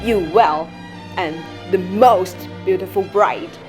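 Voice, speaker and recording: A woman playing a measured, deliberate male duke in an amateur radio drama, with some hesitation. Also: mid-range voice, music in the background, natural voice. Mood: pleased. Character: calm male duke, ruler and judge, measured.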